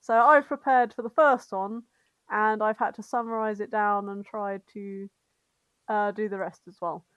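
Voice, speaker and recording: A woman's voice: mid-range voice, fairly even intonation, moderate pace, a bit bright, dry recording, muffled recording. A woman co-hosting in casual comic chat, giggly, sweary and self-deprecating as she recaps what she has been reading. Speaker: podcast co-host.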